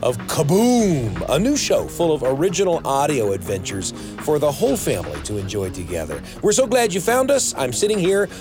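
A man's voice: a little high, sing-song, moderate pace, music in the background. A man hosting a podcast, announcing from a script in a friendly style on a close studio mic. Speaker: podcast host.

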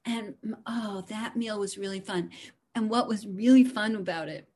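Chatty woman telling anecdotes, mid-pitched. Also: moderate pace, darkish tone. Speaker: guest storyteller and author. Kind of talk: casual anecdotal storytelling. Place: at home.

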